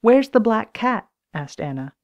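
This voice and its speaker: A woman's voice: on the high side, sing-song, unhurried, a little mellow, lo-fi sound. A woman reading scripted lines from a children's story as an audiobook. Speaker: audiobook co-reader.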